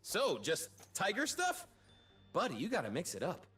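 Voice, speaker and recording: A man's voice: sits high, wildly swooping pitch, moderate pace, fairly bright tone, husky, soft background music, full character voice. A man voicing a cocky, flamboyant bird-man warrior in a video game, vain and mocking, with a theatrical, teasing delivery. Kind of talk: video game character voice lines.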